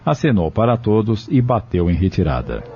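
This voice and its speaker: A man's voice: on the low side, big pitch swings, moderate pace, heavy background noise. A man narrating a scripted audio novel in a dramatic storytelling style. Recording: dull, radio-like lo-fi audio. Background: soft music.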